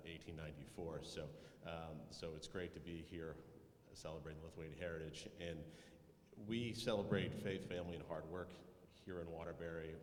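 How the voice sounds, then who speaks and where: Low male speaker, casual ceremony remarks, echoey hall with long reverb. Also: slight background noise. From Connecticut. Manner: casual, with many fillers and restarts. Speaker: senator's office representative. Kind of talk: ceremony speech. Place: large hall with high ceiling. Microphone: podium microphone.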